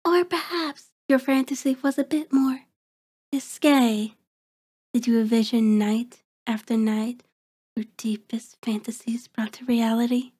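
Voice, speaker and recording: Slow, haughty vampire villainess played by a woman. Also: on the high side, steady tone, mellow, breathy edge. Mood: smug, teasing. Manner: slow, deliberate, mocking, haughty formal diction. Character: cold, taunting, predatory female vampire toying with prey. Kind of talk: ASMR horror roleplay, intimate scripted monologue.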